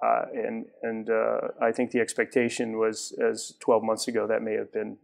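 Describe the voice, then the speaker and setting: A man's voice: lowish voice, vocal fry. A man in his forties, a guest in a studio interview, explaining at length with frequent restarts, on a lapel mic in a TV studio. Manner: halting restarts, then steady explanation. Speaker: guest, retail property developer. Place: TV studio set.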